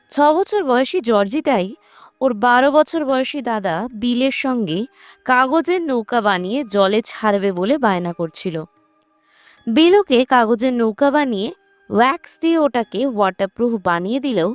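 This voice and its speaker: A woman's voice: on the high side, dry recording. A woman narrates a scripted horror film recap in a storytelling style, as a voice-over. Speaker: narrator of a movie recap channel. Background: background music.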